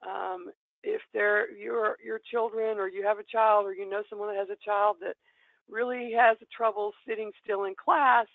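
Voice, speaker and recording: A middle-aged woman presenting a webinar, her gravelly voice hoarse from bronchitis. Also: medium pitch, fairly even intonation, moderate pace, no echo. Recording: tinny dry phone-line audio.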